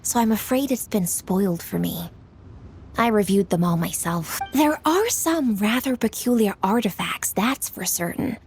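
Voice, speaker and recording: An actress playing a poised, businesslike young official in a video game, articulate, formal and composed. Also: female voice, mid-range voice, animated, unhurried, darkish tone, slight background noise. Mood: composed interest. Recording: music under the voice.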